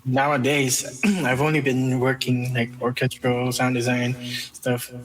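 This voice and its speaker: A man's voice: mid-range voice, monotone, measured pace, poor audio. A man chatting casually as an interview guest, reflective and modest, a little tentative. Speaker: interview guest, game composer.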